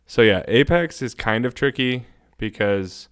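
A man's voice: medium pitch, animated, a little slow, a bit bright, clear, resonant tone. A man co-hosting a podcast, explaining things informally and thinking aloud as he goes. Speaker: podcast co-host. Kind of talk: casual podcast chat and explanation.

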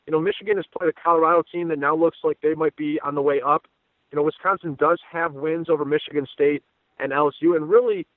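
A man's voice: on the high side, dry recording. A man giving conversational sports analysis as a guest, talkative and repeating words as his thoughts form. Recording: tinny phone-line audio.

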